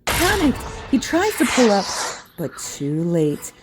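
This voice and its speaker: A woman's voice: mid-range voice, huge pitch swings, takes it slowly, noisy background. A woman narrator reading a scripted story dramatically for an audio play.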